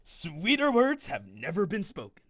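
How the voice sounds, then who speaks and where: A man's voice: high-pitched, huge pitch swings, a little slow, a bit bright, no room sound, exaggerated voice. An actor playing a brash, blunt, foul-mouthed male fighter in a fantasy audio drama. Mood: cheerful.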